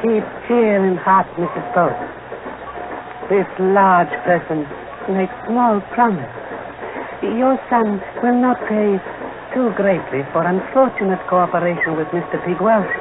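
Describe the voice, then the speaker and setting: An actor playing a shrewd, courtly Chinese detective inspector, formal and aphoristic, with clipped grammar, in old radio mystery style. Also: male voice, high-pitched, measured pace. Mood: kindly, satisfied. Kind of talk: 1940s radio mystery acting. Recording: tinny, noisy old radio recording.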